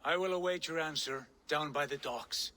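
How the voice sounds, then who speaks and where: An actor playing a proud Viking warrior in a game cutscene, speaking in solemn, grandiose declarations. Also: male voice, on the high side, moderate pace, sharp tone, slight background noise.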